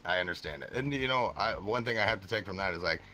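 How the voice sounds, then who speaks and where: A man in his twenties, a streamer guest in a casual podcast chat, talking in an animated way and swearing now and then. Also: quick pace. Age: 20s. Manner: animated, swearing, jumps into high mock voices.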